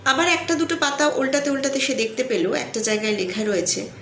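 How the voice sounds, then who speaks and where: Lady telling a heartfelt story, earnest with pauses, roomy echo, bit of noise. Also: high-pitched, animated, a bit bright. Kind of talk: slow, sentimental motivational storytelling. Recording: echoey room, faint background noise.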